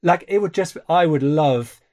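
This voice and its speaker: A man's voice: fairly high pitch, normal speed, darkish tone, highs cut off. A man in a casual chat about worship songs, musing aloud in a hesitant way with many likes and restarts.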